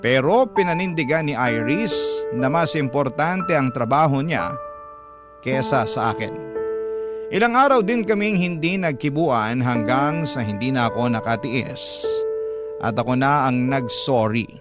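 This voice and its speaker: Actor as wistful Filipino narrator over loud music. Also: male voice, mid-range voice, lively intonation, unhurried, natural voice. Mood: wistful, confiding. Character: wistful boyfriend telling his sad love story. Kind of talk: Filipino radio drama narration, letter to host. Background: loud musical score.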